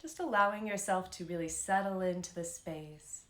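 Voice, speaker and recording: A woman leading a live guided meditation as an instructor, speaking in a calm, soothing, unhurried way with long pauses. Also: fairly low pitch, echoey room.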